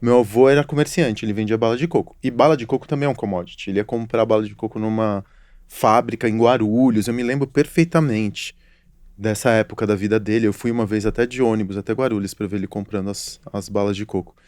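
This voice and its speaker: A man's voice: on the low side, steady tone, fast, darkish tone, dry recording. A middle-aged man hosting a casual interview podcast, telling stories in a reflective, philosophical way. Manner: reflective, storytelling, apologetic asides. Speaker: podcast host.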